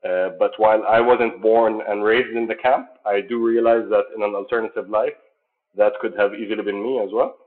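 A man from Jordan, founder of a sports program, answering interview questions in an earnest, measured way with many fillers. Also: on the low side, steady tone. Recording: tinny phone-like line.

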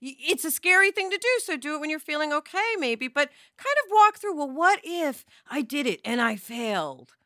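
A woman's voice: sits high, lively intonation, normal speed, hardly any pauses, bright, forceful tone, no room sound, clean studio sound. A woman co-hosting a casual podcast discussion, speaking in an emphatic, encouraging, informal way. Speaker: podcast co-host.

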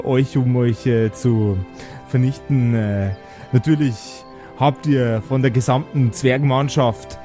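A man voicing a bumbling dwarf village elder who talks in halting, convoluted officialese, full of hesitations. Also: medium pitch, dark tone, lightly acted. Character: long-winded, bumbling dwarf village elder, a stammering politician.

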